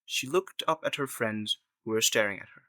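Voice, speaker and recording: Bright, forceful male story narrator. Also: on the low side, moderate pace, no room sound. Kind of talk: scripted radio drama narration.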